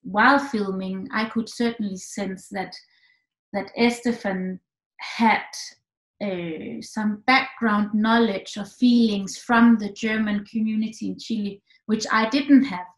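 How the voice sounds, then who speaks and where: A woman's voice: medium pitch, fairly even intonation, slow pace. Echoey woman from Denmark, searching for words. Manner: thoughtful, many restarts and fillers. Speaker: film director guest. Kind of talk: online film talk answers. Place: home room with echo. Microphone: computer mic on a video call.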